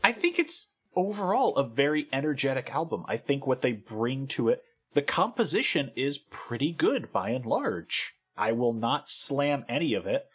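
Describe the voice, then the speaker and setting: Husky, animated woman discussing music. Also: very deep voice, normal speed, hardly any pauses. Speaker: music podcast co-host. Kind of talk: casual album discussion.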